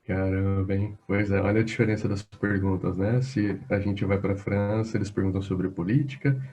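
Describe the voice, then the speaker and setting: Brazilian man host, teasing and jokey, low mellow voice. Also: fairly even intonation. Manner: jokey, teasing, quick to laugh. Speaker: interview host. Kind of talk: casual interview chat.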